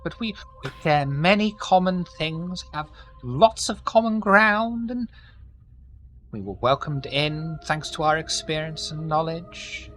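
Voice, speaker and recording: A woman's voice: low voice, expressive, takes it slowly, a bit bright, slight background noise, heavily acted. A woman voicing an old, genteel vampire grandmother who gushes and talks sweetly down to people.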